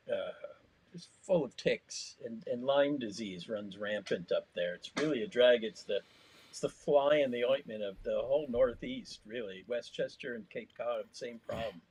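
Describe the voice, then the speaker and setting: A man in casual podcast chat, rambling and drifting between loud and quiet. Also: mid-range voice, animated, normal speed, husky, rough recording. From Massachusetts. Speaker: podcast co-host.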